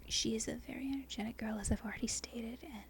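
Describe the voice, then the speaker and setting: A woman from Australia with a softened Australian accent, whispering an unscripted ASMR ramble in a halting, breathy way, often correcting herself. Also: on the high side, steady tone, a little hiss or hum. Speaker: amateur ASMR video creator. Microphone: non-binaural microphone.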